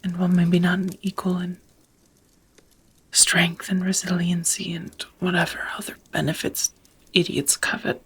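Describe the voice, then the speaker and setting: A woman voicing a devoted, nervous vampire roommate in an intimate ASMR roleplay, whispering softly in a halting, earnest, tender way. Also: on the low side, monotone, slight background noise, lightly acted. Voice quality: soft, breathy whisper.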